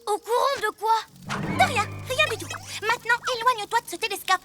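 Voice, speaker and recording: A woman voicing an earnest young child superhero in an animated cartoon dub. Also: very high voice, brisk. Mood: defiant. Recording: loud music behind the voice.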